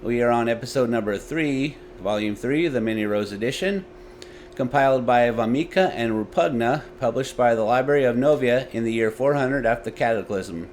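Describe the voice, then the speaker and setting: A man's voice: mid-range voice, moderate pace, darkish tone. Male storyteller with some background noise. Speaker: host and storyteller of a story series. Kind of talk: dramatic story narration. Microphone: desktop USB microphone.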